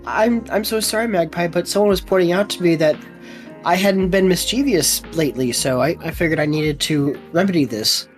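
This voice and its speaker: A woman's voice: fairly low pitch, fairly quick, soft background music. A woman chatting casually in a teasing, playful way as a participant on a group stream. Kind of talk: casual chat.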